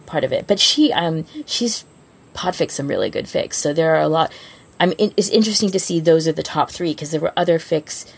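Very creaky low-voiced woman podcaster. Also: lively intonation, a little fast. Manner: gushing, informal. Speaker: podcast co-host. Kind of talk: casual fan discussion.

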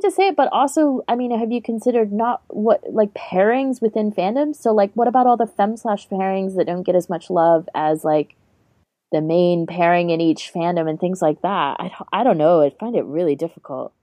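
A woman's voice: mid-range voice, normal speed, mellow, dry recording. A woman co-hosting a podcast, chatting casually and conversationally. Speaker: podcast co-host.

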